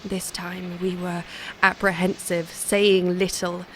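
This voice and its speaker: A woman's voice: lowish voice, flat delivery, slow, low-quality recording. A woman playing a haunted yet resolute young wife, composed and intense, in a horror audio drama. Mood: somber narration. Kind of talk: audio drama acting and narration. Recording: audible background noise.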